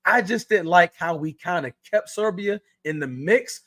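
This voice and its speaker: A man co-hosting a casual sports podcast, animated and emphatic, repeating himself, slightly worn voice. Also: high-pitched, moderate pace. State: losing his voice. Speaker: podcast co-host.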